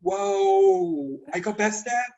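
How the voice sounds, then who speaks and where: A young man from Johor Bahru with a Malaysian English accent, chatting casually in a halting way with lots of repeats and laughs. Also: very high-pitched, wildly swooping pitch, creaky, darkish tone, muffled recording. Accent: Malaysian Chinese English. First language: Chinese. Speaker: guest. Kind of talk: casual chat.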